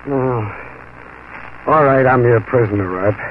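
Actor doing a nervous smug western outlaw, whining, tinny old broadcast sound. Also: male voice, mid-range voice, measured pace, slight background noise, natural voice. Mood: sneering. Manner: whiny, smug, nervous stammer. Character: sneering cowardly outlaw, smug and shifty. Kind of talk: 1940s radio acting. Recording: thin tinny old radio recording.

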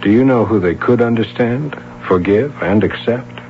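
An actor playing an earnest, persuasive police officer, insistent and reassuring, in a 1970s radio drama. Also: male voice, on the low side, normal speed, close to an everyday voice. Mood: gently persuasive. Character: earnest male police officer, persuasive, gently courting a runaway. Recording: dull, noisy 1970s radio recording with hum.

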